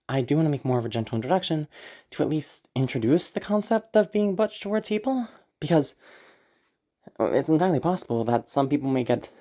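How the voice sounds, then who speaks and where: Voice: mid-range voice, dark tone. Anxious, sick-sounding rambler with a sore throat. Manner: anxious, apologetic, hesitant; swears casually. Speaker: audio content creator. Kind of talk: casual rambling update to listeners.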